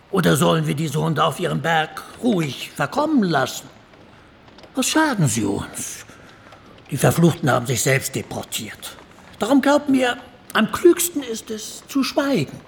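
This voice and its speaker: Ottoman major, high creaky voice, irascible, commanding, haughty, radio drama. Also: big pitch swings, unhurried, a little hiss or hum, heavily acted. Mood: scornful. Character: irascible Ottoman major scolding his subordinates.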